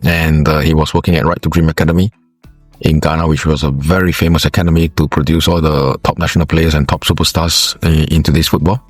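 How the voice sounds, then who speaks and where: A man from Singapore, a former footballer, giving interview answers in a halting way with many fillers and restarts. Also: a bass voice, little pitch movement, breathy edge, no room sound, soft background music.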